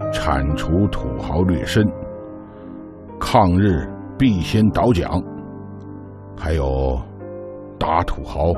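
An actor playing an old man who recalls Red Army days in a radio drama, as an amused, unhurried storyteller. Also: a bass voice, heavily acted. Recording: music clearly behind, strings.

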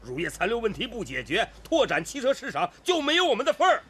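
An actor playing an authoritative, emphatic steel-factory director in a Chinese radio drama. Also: male voice, very high voice, wildly swooping pitch, fast, a bit bright, a little hiss or hum, heavily acted. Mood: grave, pressing.

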